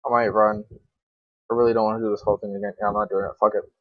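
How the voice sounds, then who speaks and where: A man's voice: fairly low pitch, level tone, rapid-fire, dry recording. A young adult man giving casual gameplay commentary in an informal, grumbling, sweary way. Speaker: gaming video creator. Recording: dull lo-fi microphone, dry.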